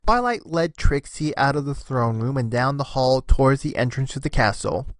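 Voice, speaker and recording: Male narrator, expressive scripted storytelling, wide-ranging intonation, dry close recording. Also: mid-range voice, moderate pace, no room sound.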